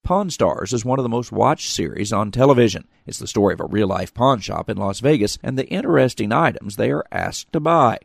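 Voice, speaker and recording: A man narrating a news feature story in a measured, scripted delivery. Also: mid-range voice, animated, creaky, no room sound, clean studio sound. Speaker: reporter.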